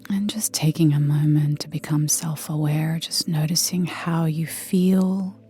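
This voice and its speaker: A woman leading a guided meditation in a soft, breathy near-whisper, gentle and soothing, with unhurried pauses. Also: sits low, fairly even intonation. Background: soft background music.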